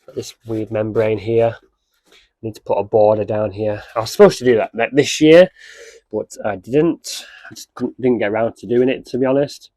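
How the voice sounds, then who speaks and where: A man with a cold and a slightly croaky voice, chatting casually in a gardening vlog. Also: lowish voice, normal speed. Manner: chatty, relaxed, with many fillers. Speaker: allotment vlogger. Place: outdoors at an allotment.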